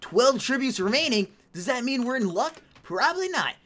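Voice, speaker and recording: Excitable, chatty teen girl gamer. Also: a little high, normal speed. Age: late teens. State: a little hoarse. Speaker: gaming channel host. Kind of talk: casual gameplay commentary.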